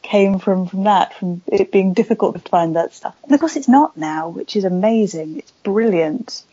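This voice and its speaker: A woman's voice: medium pitch, normal speed, warm tone, no room sound, lo-fi sound. A woman from West Wales, an author, talking casually and at length as a podcast guest, with many fillers. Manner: chatty, rambling with fillers. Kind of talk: casual interview chat.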